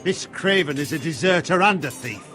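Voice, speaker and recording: A man's voice: on the high side, animated, normal speed, pushed and bright, faint music behind. An actor playing a harsh, contemptuous castle guardsman in a video game drama, barking in a commanding way. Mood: stern contempt.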